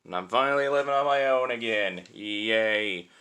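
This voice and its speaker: A young man giving a casual, unscripted toy review in a chatty, halting way, recorded on a cell phone. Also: on the low side, pushed and bright, clean tone. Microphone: cell phone used as camera.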